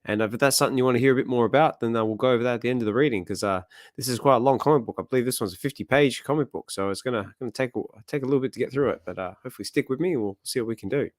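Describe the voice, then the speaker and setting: A man with a slightly hoarse voice hosting a comic reading stream, chatting casually and rambling with lots of fillers. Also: medium pitch, racing, hardly any pauses, no echo, studio quality. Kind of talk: casual chat.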